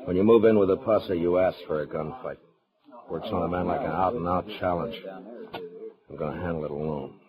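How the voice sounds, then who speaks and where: An American actor playing a steadfast, terse town marshal in a 1950s radio Western. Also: male voice, sits low, steady tone, brisk, dull audio, crowd noise, close to an everyday voice. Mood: grim resolve. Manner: terse, firm, laconic. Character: steadfast, grim frontier town marshal, adult man. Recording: vintage 1950s radio recording.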